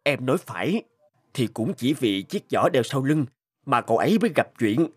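A man's voice: medium pitch, sing-song, moderate pace, slightly rough, no room sound, clean studio sound. A man voicing an earnest, devoted mountain climber in a cartoon, speaking emotively. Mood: determined. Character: earnest adult male mountain climber, guilt-ridden and devoted friend. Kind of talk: dubbed cartoon acting. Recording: loud music over the voice.

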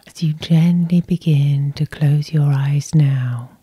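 A woman guiding sleep hypnosis in a gentle, soothing whisper, close to the microphone. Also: low voice, slow pace, slightly rough, dry recording. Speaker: hypnosis guide, host. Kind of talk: whispered sleep hypnosis, ASMR guided meditation.

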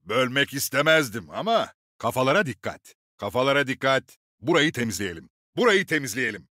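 Game role: gruff male squad leader, seasoned and commanding, very animated delivery, close dry recording. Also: medium pitch, a little fast, no room sound. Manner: authoritative, clipped commands with dry swagger. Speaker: game character voice actor. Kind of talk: video game voice lines, short military barks.

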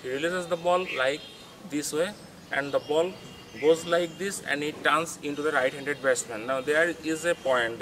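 A young man with a fairly high voice talks a viewer through spin bowling grips, showing each one with his hands. His English is non-native and full of small restarts. He stands outdoors by a concrete wall, with faint outdoor sound behind him. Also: fairly bright tone, slight background noise. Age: young adult. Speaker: cricket coaching channel host. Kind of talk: tutorial talking to camera.

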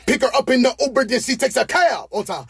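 A man's voice: very high-pitched, expressive, fast, gravelly, fairly bright tone, faint music behind. A man rapping in a studio recording booth into a condenser mic, playful and laughing between bars. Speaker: rapper. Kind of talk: freestyling in the studio. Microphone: studio condenser mic with headphones.

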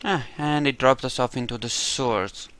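A man's voice: mid-range voice, normal speed, fairly bright tone, clean tone. A man giving casual gameplay commentary, muttering asides to himself as he plays. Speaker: gamer commentating on a playthrough.

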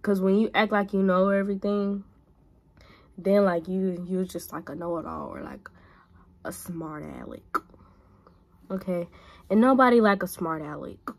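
A woman's voice: fairly low pitch, very animated, measured pace, gravelly, long pauses. A woman in her twenties talking casually to a phone camera while doing her makeup in a small bedroom, giving blunt big-sister advice. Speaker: vlogger. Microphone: phone camera at arm's length.

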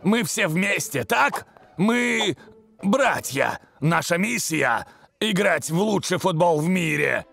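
Cartoon dub: a slow, gravelly young captain, faint music. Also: male voice, sits high, animated, fairly bright tone, heavily acted. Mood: earnest. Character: earnest young male team captain.

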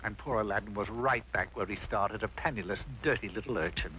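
A man's voice: on the low side, a little fast, sharp tone. A man narrating a mock fairy tale in 1960s cartoon style, with a wry, theatrical storyteller delivery. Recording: very noisy old cartoon soundtrack.

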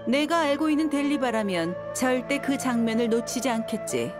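Casting: cocky young mercenary woman for a game, high register, cool taunts over background music. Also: expressive, normal speed. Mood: sardonic. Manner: cool, taunting, self-assured. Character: confident, sardonic young woman desert mercenary. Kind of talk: video game voice acting. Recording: music behind the voice.

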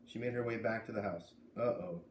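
A man's voice: fairly low pitch, steady tone, fast. Male reader in his thirties for read-aloud narration; living-room echo. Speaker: storyteller reading a children's novel aloud. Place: living room indoors by a fireplace. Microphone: camera a few feet away. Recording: roomy camera sound, faint noise.

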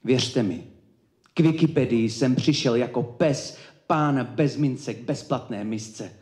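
A man performing slam poetry in a declamatory, emphatic way, with deliberate pauses between his phrases. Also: medium pitch, darkish tone. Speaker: slam poet. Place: indoor cultural evening event, live before an audience. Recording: echoey live room.

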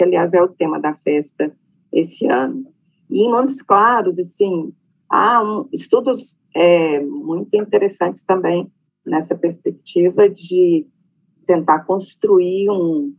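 A middle-aged woman from Brazil speaks on a video call panel from her home study. Her voice sits very low and has a husky edge. She talks hesitantly, pausing and filling gaps as she goes. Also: low-quality recording. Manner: hesitant, many fillers. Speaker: panelist, professor. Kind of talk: online panel talk.

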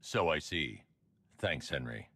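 An actor playing a grim, stoic masked vigilante in a video game, terse and commanding with a raspy voice. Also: male voice, very deep voice, expressive, slow, pushed and bright, no echo, heavily acted. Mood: grim, controlled.

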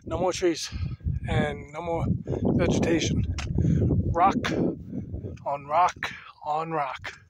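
A man with a fairly high voice talks slowly and casually to his handheld camera while hiking a bare rocky peak. He sounds a little shaky, and heavy wind buffets the camera mic. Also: big pitch swings, creaky. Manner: chatty, unhurried, talks to the camera while climbing. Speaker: hiker filming his climb. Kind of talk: casual trail vlog narration. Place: outdoors on a windy rocky mountain summit. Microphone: handheld camera on a pole. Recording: loud wind noise on an outdoor camera mic.

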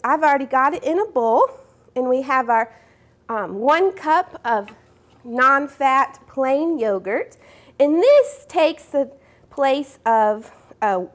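A young woman hosting a cooking show, explaining each step in a friendly way in a studio kitchen. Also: sits high. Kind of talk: cooking demonstration. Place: home-style studio kitchen.

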